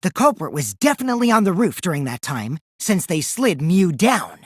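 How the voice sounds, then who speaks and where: A man's voice: sits high, very animated, moderate pace, husky, no echo, clean studio sound, full character voice. A man voicing a mischievous, childish teenage boy who lies and schemes, in a youthful, teasing, theatrical video game performance. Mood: teasing, sly. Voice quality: boyish, youthful put-on voice. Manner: teasing, theatrical, swinging from singsong to hushed menace. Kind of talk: English video game voice acting.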